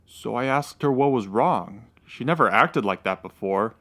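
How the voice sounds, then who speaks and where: A man's voice: mid-range voice, expressive, moderate pace, fairly bright tone. A man playing a dejected, lovesick suspect under questioning in a radio drama, plainspoken and unsure. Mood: earnest, aggrieved.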